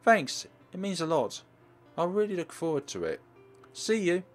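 A man voicing an earnest, excitable high school girl in a visual novel. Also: moderate pace, soft background music. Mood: eager.

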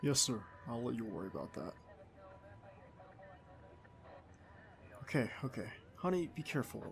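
A man's voice: mid-range voice, fairly bright tone. A man playing a young male field agent, a doting, stubborn, playful boyfriend, in an ASMR roleplay audio drama. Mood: subdued, soothing. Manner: affectionate, teasing, protesting.

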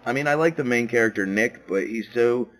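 A man co-hosting a podcast in a casual chat, laid-back and quick to laugh, with lots of fillers. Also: mid-range voice, fairly bright tone, low-quality recording. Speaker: podcast co-host. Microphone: podcast microphone.

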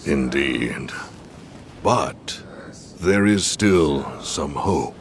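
Middle-aged villain scientist, deep voice, slow, clinical, menacing, self-justifying, video game drama. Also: male voice, lively intonation, a little hiss or hum, full character voice. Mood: scheming, intense. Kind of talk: English video game dub acting.

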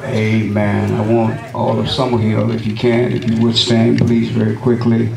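A middle-aged man, a visiting pastor, opening his sermon and praying from the pulpit with a measured cadence and frequent amens. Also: fairly low pitch, completely flat delivery, creaky, crowd noise. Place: wood-paneled church sanctuary. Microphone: lectern microphone, camera at back of room. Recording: echoey room, distant camera, congregation responses.

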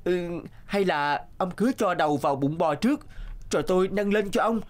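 A man voicing a brave, crafty young cowherd in a fairy-tale cartoon, earnest and excitable. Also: high-pitched, normal speed, natural voice. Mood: nervous. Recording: music under the voice.

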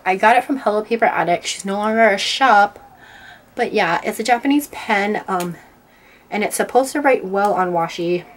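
A woman getting over a cold, sounding nasal, chatting casually with lots of ums as she walks through her planner stickers. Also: a little high. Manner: chatty, rambling, many ums. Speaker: planner vlogger. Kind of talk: casual plan-with-me narration. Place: indoors at a desk, overhead camera.

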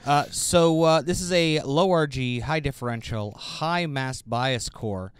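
A man's voice: mid-range voice, unhurried, smooth, dry recording. A man co-hosting a casual product review, commenting and bantering in a joking way. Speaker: host of bowling ball reviews.

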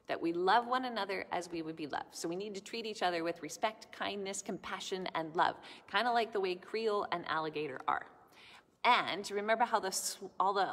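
A middle-aged woman giving a children's sermon in a large church sanctuary, speaking gently and explaining things to children. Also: medium pitch. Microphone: camera in front, at close range. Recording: long church reverb.

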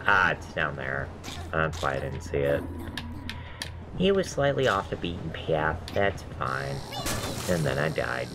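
A man with a very deep, nasal voice talks through his gameplay in loose, rambling asides. He drifts between memories and grumbles at each failed jump. A steady hiss of noise sits under the recording. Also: measured pace, long pauses. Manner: rambling, self-deprecating, swears at deaths. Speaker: gameplay commentator. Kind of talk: casual let's-play chat.

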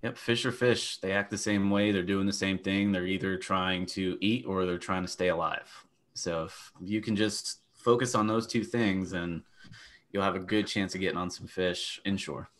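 Casting: young man, very low voice, plain practical fishing coach on a video call. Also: fairly even intonation, normal speed, fairly bright tone. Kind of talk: casual panel chat.

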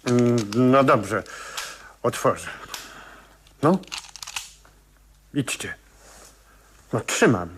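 Sharp, officious police commissioner. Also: male voice, mid-range voice, animated, very slow, long pauses, slight background noise. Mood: grudging. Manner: officious, stammering slightly, emphatic. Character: officious, suspicious, gullible male police commissioner. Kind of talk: 1970s radio play acting.

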